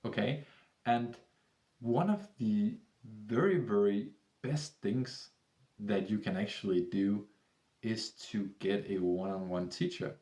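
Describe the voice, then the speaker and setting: A man with a Danish accent, a tutor speaking English as a second language and pitching one-on-one lessons to camera in a rambling, salesy way full of restarts. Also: takes it slowly, warm tone, echoey room. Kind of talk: persuasive talk to camera.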